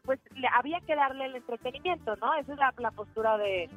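A woman's voice: high-pitched, fast, a bit bright, soft background music. A woman presenting a showbiz gossip segment on radio, chatty and opinionated. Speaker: entertainment news reporter.

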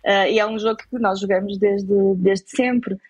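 A woman's voice: medium pitch, fairly even intonation, unhurried, a little mellow, dull audio. A woman with a European Portuguese accent giving conversational interview answers, explaining and rephrasing as she goes. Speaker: interviewed parent.

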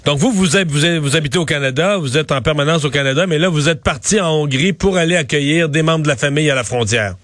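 A man's voice: fairly high pitch, quick pace, few pauses, fairly bright tone, no echo. A man hosting a radio talk show, speaking conversationally and prompting his guest with questions. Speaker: radio host. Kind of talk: radio interview.

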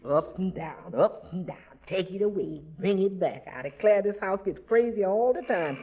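A woman's voice: mid-range voice, lively intonation, moderate pace, breathy edge. A woman playing a harried, grumbling, exclaiming housemaid in 1940s radio comedy style. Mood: exasperated. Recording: noisy, dull vintage radio recording.

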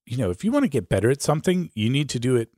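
A man's voice: mid-range voice, very animated, rapid-fire, mellow, dry recording. A middle-aged man co-hosting a casual podcast, chatting with dry humour. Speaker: podcast host. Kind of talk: casual conversational podcast talk.

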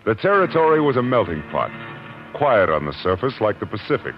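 Deep dramatic old-time radio narrator man. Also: big pitch swings, brisk. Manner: grandiose, dramatic storytelling. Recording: muffled vintage radio recording. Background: dramatic music.

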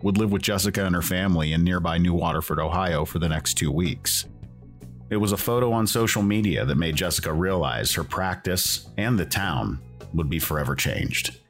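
A man hosting and narrating a documentary podcast in a measured, earnest, scripted delivery. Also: low-pitched, faint music behind.

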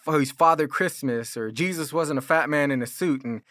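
A man's voice: on the high side, normal speed, pushed and bright, no room sound, studio quality. An American man preaching as a pastor, explaining and arguing his points in an informal, conversational way. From California. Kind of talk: sermon, explanatory apologetics teaching.

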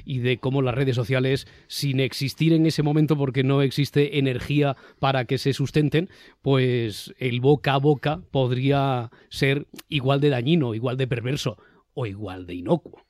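A man's voice: medium pitch, fairly even intonation, moderate pace, a little mellow, dry recording, studio quality. A man with a Castilian Spanish accent hosting a round-table podcast, articulate and fond of rhetorical questions. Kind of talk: semi-scripted panel moderation.

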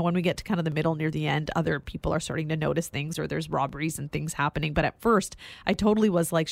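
A woman's voice: on the low side, no room sound. Informal woman in a dry-sounding book chat. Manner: informal, voices her own thoughts as quotes. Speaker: book club participant. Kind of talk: casual chat about a book.

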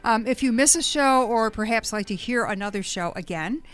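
A woman's voice: fairly high pitch, moderate pace, a bit bright. A woman hosting a casual radio interview in a studio, chatty and fond of puns, laughing often. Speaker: radio host. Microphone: studio microphone.

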